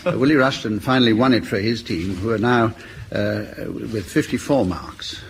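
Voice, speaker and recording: A man's voice: on the low side, moderate pace, heavy background noise. A man with a British accent hosting a radio comedy panel show, giving running commentary and instructions.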